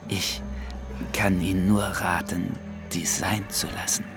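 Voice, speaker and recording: Slow, menacingly polite security official. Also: male voice, low voice, flat delivery, over loud music. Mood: veiled threat. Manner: formal, courteous, veiled threatening. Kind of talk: radio play dialogue and recollection.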